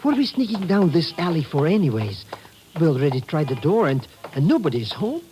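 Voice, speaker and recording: An actor playing a wheedling, nervous comic petty-crook sidekick in a vintage radio drama, whiny and fawning. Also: male voice, fairly high pitch, sing-song, fairly quick, a little mellow. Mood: nervous, reluctant. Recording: noisy, crackly vintage radio recording.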